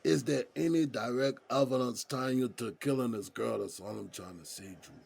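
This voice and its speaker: An American man playing a streetwise, seasoned inmate in an audio drama, with a husky voice and folksy, slangy talk. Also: mid-range voice, normal speed, a little mellow. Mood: emphatic, urging. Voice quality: husky, gravelly. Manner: folksy street slang, probing rhetorical questions. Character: streetwise, seasoned male prison inmate mentoring a younger friend.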